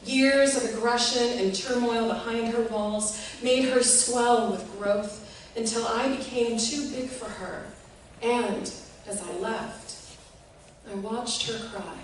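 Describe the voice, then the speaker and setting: A woman giving an expressive, measured reading of a literary piece, recorded in a reverberant room from a distance. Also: on the high side. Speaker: reader of a short literary piece. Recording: echoey room, distant mic, faint noise.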